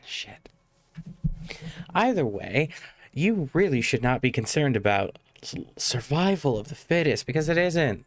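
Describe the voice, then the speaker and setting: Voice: medium pitch, big pitch swings, measured pace, long pauses, no room sound. A game streamer giving casual live commentary in a sweary, exasperated, argumentative manner.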